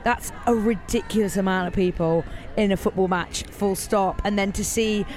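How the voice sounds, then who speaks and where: A hoarse woman hosting a casual podcast chat outdoors, excitable and stumbling over her words. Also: mid-range voice, normal speed, soft background music. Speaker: podcast host. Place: outdoors near a stadium in a city.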